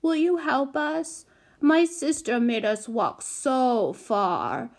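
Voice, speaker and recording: A woman's voice: high-pitched, expressive, slow, pitched above the performer's own voice, heavily acted. A woman voicing a cute, bold little girl who coaxes with babyish grammar in a storybook. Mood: sweetly pleading. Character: cute wheedling little girl, bold, gap-toothed five-or-six-year-old.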